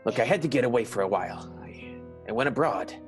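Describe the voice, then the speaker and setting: An actor playing a sheepish, halting runaway lover in an improvised radio soap opera. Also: male voice, medium pitch, lively intonation, natural voice. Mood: sheepish, evasive. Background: guitar music.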